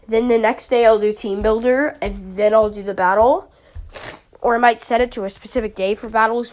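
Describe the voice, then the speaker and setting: A young woman with a sniffly summer cold, chatting casually and rambling about her team picks, with many fillers. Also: fairly high pitch. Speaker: YouTuber analyzing a draft league team. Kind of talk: casual commentary.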